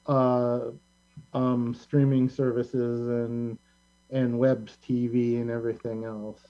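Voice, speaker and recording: A man with an average-pitched voice hosts a casual chat about pop culture. He is opinionated and rambles, often halting as he goes. The recording is dull and band-limited. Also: level tone. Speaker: talk show host.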